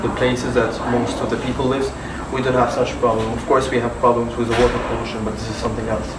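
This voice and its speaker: A man's voice: mid-range voice, flat delivery, fast talker. Hesitant man from Egypt. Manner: hesitant, many fillers. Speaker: guest. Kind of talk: casual conversation. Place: office contact centre. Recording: roomy, distant, background hum.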